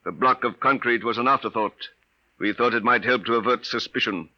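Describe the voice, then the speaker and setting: A man playing a cold, formal Martian invader with measured, menacing politeness in 1950s radio drama style. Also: mid-range voice, natural voice. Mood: coldly threatening. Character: cold, courteous male Martian invader and interrogator. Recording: muffled vintage 1950s radio recording.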